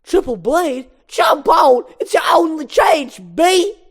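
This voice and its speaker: A frantic, loudmouthed male mosquito from an animated film, played by a woman. The voice is pushed very high and loud, yelling in a brash stand-up comic's style. The manner is wild and streetwise. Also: slow pace, heavily acted. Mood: panicked yelling. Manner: yelling, impersonating a brash comedian. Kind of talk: animated film script read-through.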